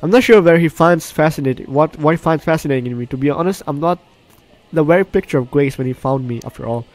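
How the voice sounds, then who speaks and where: A man's voice: a little high, fast talker, darkish tone, dry recording. A man hosting a game playthrough, reading the story aloud with reactions, often stumbling and correcting his words. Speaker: gaming video host. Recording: game music behind the voice. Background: game music.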